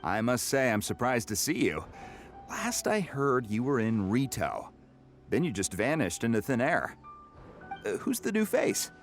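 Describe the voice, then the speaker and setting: A nosy, sly game character. His mid-pitched voice moves in lively swoops, suave and inquisitive as he sizes people up. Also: measured pace, soft background music. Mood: curious, sly. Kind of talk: video game character acting.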